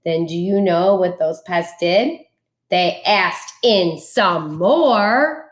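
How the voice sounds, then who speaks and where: A woman reads a children's storybook aloud in a dramatic, deliberate rhyming delivery. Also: on the low side, echoey room. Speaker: teacher reading a picture book aloud.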